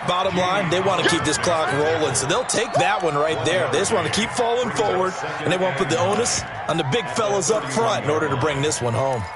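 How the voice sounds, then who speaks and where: A man's voice: high voice, animated, a little fast, hardly any pauses. A man with an American accent playing a folksy football color commentator in a video game, explaining plays with emphasis in broadcast style. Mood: engaged, explanatory. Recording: loud stadium crowd noise behind. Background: stadium crowd, game noise.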